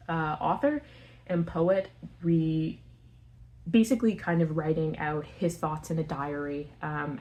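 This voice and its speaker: A woman with a fairly low voice talks casually to her camera about books from the floor of her home. She rambles in a friendly way, leans on filler words and often stops to correct herself. A faint hiss of background noise sits under her voice. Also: darkish tone, rough recording. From Canada. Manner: chatty, filler-heavy, corrects herself on names. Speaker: book vlogger.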